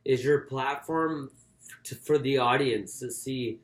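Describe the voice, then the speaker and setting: A man co-hosting a casual sports podcast, speaking haltingly and often repeating his words as he goes. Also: medium pitch. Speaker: boxing podcast co-host. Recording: echoey, roomy sound.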